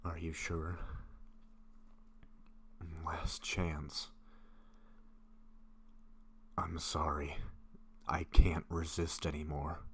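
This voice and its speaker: Man playing a very deep, hesitant gentle vampire. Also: little pitch movement, full character voice. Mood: strained, tempted. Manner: hesitant, breathy murmurs, halting phrases. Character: gentle, apologetic lovestruck young male vampire, shyly hungry. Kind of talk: intimate audio roleplay.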